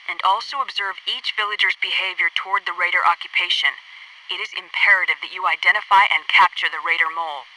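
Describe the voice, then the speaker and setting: A woman's voice: mid-range voice, pushed and bright, dry recording. An actress playing an authoritative female military mission commander in a video game, formal, clipped and commanding, heard slightly distant through a radio filter. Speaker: military headquarters mission controller.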